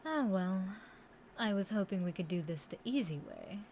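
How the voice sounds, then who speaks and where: A woman voicing a commanding, scheming demon queen in a scripted audio roleplay, haughty and taunting, with deliberate, theatrical villain delivery. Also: mid-range voice, expressive, darkish tone, a little hiss or hum, lightly acted. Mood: tempting, coaxing.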